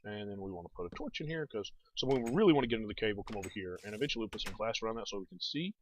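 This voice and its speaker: A man's voice: lowish voice, expressive, racing. A man giving casual gameplay commentary as a let's play host, thinking aloud and talking to viewers. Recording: dull, noisy home recording.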